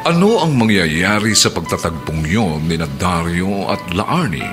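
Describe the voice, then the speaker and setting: Casting: Filipino male drama announcer, deliberate pace, hugely animated intonation, scripted delivery over music. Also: fairly low pitch. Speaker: radio drama narrator and announcer. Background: prominent music.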